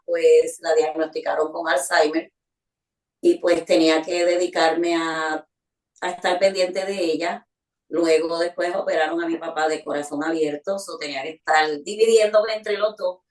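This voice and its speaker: A woman's voice: on the low side, fairly even intonation, moderate pace, far from the mic. A middle-aged woman, telling her story as an interview guest with many small fillers. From Puerto Rico. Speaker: guest, community volunteer. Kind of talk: conversational interview answer. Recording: roomy sound, away from the mic.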